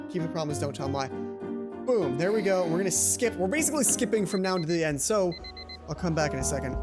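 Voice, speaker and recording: Let's play narrator, mid-pitched, theatrical reading with casual asides and small stumbles, game music behind. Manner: dramatic read-aloud mixed with offhand asides, stumbles over lines. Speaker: gaming video host. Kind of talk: let's play, reading visual novel aloud with casual commentary.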